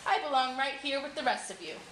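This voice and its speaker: Woman as mysterious male scholar, storybook tale, courteous, evasive, animated high pitch, echoey room. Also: fairly quick. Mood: smoothly evasive. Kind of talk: storybook character voice. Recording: echoey room with clear background noise.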